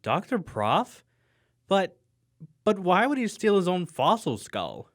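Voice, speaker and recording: An actor playing an earnest, trusting young detective's sidekick in an audio drama, hesitant and questioning. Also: male voice, high voice, big pitch swings, slow, heavily acted. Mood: puzzled. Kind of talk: scripted audio drama acting.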